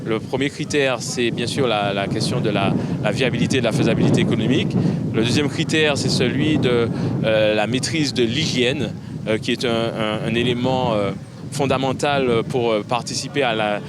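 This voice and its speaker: Halting man over loud outdoor noise. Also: medium pitch. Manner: halting, hesitant, searching for words. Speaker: project official. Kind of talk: explanatory interview.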